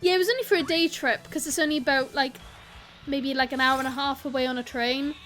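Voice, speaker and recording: A young woman with a South Welsh accent chats in a bright, high voice while streaming a game. She rambles between topics, laughs a lot and welcomes viewers by name. Quiet game music plays in the background. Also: steady tone, quick pace. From South Wales. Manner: chatty, giggly, greets viewers, rambling asides. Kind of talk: casual live-stream chat while gaming.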